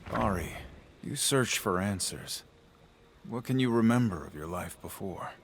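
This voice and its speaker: Deep-voiced, brooding swordsman. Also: expressive, a little slow, slight background noise. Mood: calm, sympathetic. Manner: restrained, thoughtful. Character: calm, brooding swordsman with a regretful past. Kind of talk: cinematic game acting.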